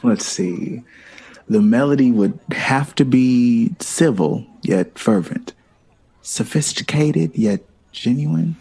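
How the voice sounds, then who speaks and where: An actor playing an urbane, witty and smitten jazz composer in an audio drama, with refined, theatrical phrasing that savors words. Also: male voice, mid-range voice, slow pace, gravelly, dark tone, husky, full character voice, low-quality recording. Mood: charming, flirtatious.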